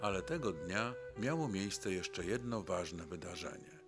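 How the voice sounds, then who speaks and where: A man reading scripted documentary narration in a measured, formal storytelling way. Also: sits low, animated. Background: music.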